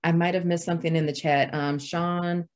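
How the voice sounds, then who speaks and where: Woman roundtable facilitator on a video call, measured and appreciative, lowish voice. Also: flat delivery, darkish tone. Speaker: webinar presenter. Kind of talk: online roundtable moderation.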